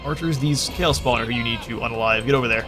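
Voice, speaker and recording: A man's voice: medium pitch, quick pace, over music. A man casually commenting on his own strategy game battle, thinking aloud as he gives orders to his units. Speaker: gaming channel host.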